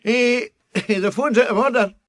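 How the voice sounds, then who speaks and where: An actor playing a slow, literal-minded old railway sweeper who gives terse, unhelpful answers in a radio drama. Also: male voice, very high-pitched, no room sound, studio quality. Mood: obtuse, amused.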